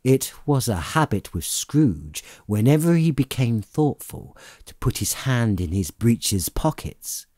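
A man's voice: medium pitch, very animated, unhurried, few pauses, mellow, dry recording, clean studio sound. A man narrating classic fiction as a dramatic audiobook reading.

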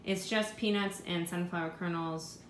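A woman's voice: fairly low pitch, moderate pace. A woman in her thirties, a mother of five, talking through her grocery haul at home and musing aloud over prices. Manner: chatty, thinking aloud about prices. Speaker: vlogger. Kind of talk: casual grocery haul narration. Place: home kitchen. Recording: echoey room with steady background noise.

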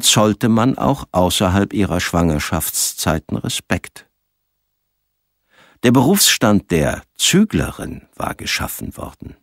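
Deep, lively male audiobook narrator. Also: no room sound, studio quality. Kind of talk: scripted narration of science fiction.

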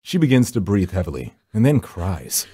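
Low, wry horror narrator, acted by a man. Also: sing-song, normal speed, warm tone, slightly rough, dry recording, exaggerated voice. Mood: dry, sardonic. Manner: dry, sardonic, theatrical. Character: wry, secretive male hired finder from the edge of death. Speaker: narrator and lead character. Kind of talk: first-person horror visual novel narration. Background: background music.

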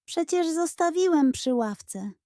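A woman's voice: high voice, expressive, slow, warm tone, no echo, studio quality, pitched above the performer's own voice. A woman voicing a baffled schoolboy bully who whines and exclaims in a children's audio story.

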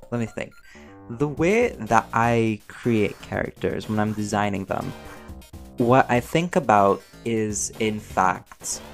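A man giving a casual, rambling, playful Q&A as a voice actor, chatty and thinking aloud. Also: on the low side. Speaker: voice actor and audio creator answering fan questions. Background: music under the voice.

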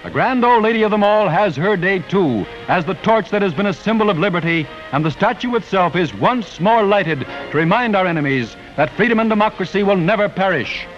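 A man with an American accent and a fairly high voice narrates a vintage newsreel. He declaims the script with solemn patriotic weight. The sound is dull and old, with music running underneath. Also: animated, a little fast, few pauses.

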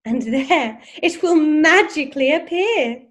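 High, swooping, prim children's TV schoolmistress played by an actress. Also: female voice, expressive, takes it slowly. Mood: mock cheerful. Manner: sing-song, exaggeratedly jolly and condescending. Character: prim, gushing, sinister children's TV schoolteacher woman. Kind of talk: parody children's show presenting.

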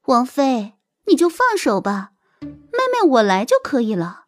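An actress playing a haughty, whiny, scheming young royal concubine in an audio drama, with an indignant, plaintive manner. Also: female voice, high-pitched, wildly swooping pitch, unhurried, no echo, faint music behind, heavily acted. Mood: sweetly cutting.

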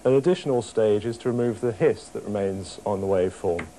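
A man's voice: on the low side, a little fast, warm tone. A young man talking through a technical demonstration as an engineer. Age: young adult. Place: small office workroom with computers. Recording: faint room noise, TV report.

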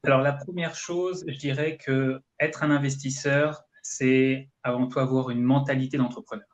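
A man's voice: medium pitch, flat delivery, normal speed, muffled recording. A man in his thirties from France, giving explanatory, conversational answers on a video call. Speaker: guest, real estate investment coach. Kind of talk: conversational live interview answers. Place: indoor room, video call.

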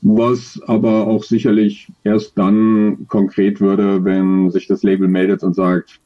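A man's voice: lowish voice, completely flat delivery, takes it slowly, dull audio, faint music behind. A middle-aged man giving thoughtful interview answers, hesitant and often searching for words as he explains. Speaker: interview guest, audio drama author. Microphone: video call, close to webcam.

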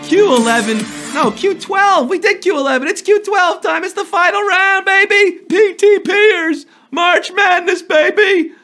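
A man in his thirties hosting a live trivia show from a studio, playful and jokey, a little hoarse from a sore throat. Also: extremely high pitch, sharp tone, faint music behind. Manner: playful, jokey, slips into quick impressions and sung bits. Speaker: trivia game show host. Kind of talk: upbeat live quiz hosting. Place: studio before a green screen.

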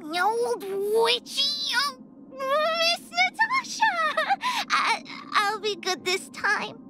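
A cheeky, excitable young anime game girl with a very high voice that bounces around. She is playful and takes her time, and music plays clearly behind her. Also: extremely slow, full character voice. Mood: excited, cheeky.

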